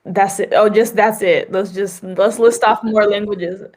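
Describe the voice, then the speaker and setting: A woman hosting an interview podcast. She talks in a lively, conversational way and often adds "like" and "right?". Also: mid-range voice, a little slow. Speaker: podcast host.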